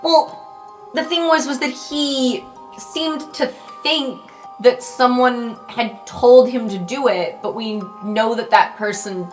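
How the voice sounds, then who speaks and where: A woman's voice: fairly high pitch, slow, soft background music, poor audio. A woman talking casually in character as a player in a tabletop roleplay game.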